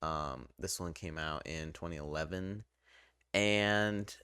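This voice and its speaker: Low-voiced man giving a casual game review. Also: takes it slowly, sharp tone, clean tone, no echo, studio quality. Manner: hesitant, conversational, hedging opinions as he goes. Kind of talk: casual unscripted review commentary.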